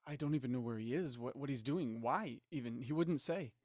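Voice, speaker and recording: A man's voice: medium pitch, a little fast, darkish tone, no echo, clean studio sound, close to an everyday voice. An actor playing an anxious, skeptical man who pleads and stammers in an audio drama. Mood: agitated. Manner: hesitant, stammering.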